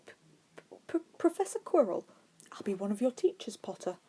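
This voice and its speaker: Woman acting a stammering, fearful male professor, pitched up. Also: very animated, warm tone, breathy edge. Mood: nervous, stammering. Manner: stuttering, trembling, halting. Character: pale, trembling, fearful male professor with a stutter.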